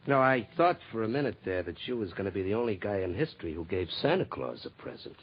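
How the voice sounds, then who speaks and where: A man's voice: on the low side, sing-song, fast, husky. An actor playing a menacing male gang boss in 1940s radio acting style, talking in hard-bitten gangster slang. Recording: muffled old radio recording with hiss.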